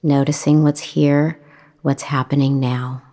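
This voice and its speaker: Slow, low-voiced woman guiding meditation. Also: little pitch movement. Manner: measured, gentle, with long pauses. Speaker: meditation instructor. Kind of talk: guided meditation, calm instruction.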